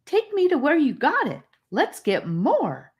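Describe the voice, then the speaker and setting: A woman voicing an excitable, impatient and greedy young boy in an audiobook, exclaiming and demanding. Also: high-pitched, unhurried, dull audio, voice pushed up, exaggerated voice. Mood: excited. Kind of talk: audiobook character voice.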